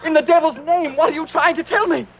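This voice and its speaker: An actor playing a defiant young English lord in an indignant, dramatic 1940s radio style, with a husky voice. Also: male voice, very high voice, steady tone, fast, a little hiss or hum. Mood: desperate. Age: young adult. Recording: dull 1940s radio recording.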